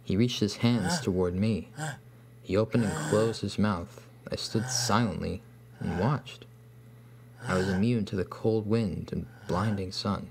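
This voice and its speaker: A man reading a scripted first-person horror story in a deliberate, ominous manner. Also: fairly low pitch, a little mellow. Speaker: narrator. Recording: faint hum behind voice.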